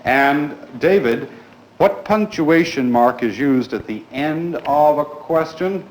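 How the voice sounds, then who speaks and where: A man teaching a class in a formal, polite, deliberate way, putting questions to his pupils. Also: a little high, animated. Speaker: schoolteacher leading a grammar class. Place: indoors in a school classroom. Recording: echoey classroom recording with clear background noise.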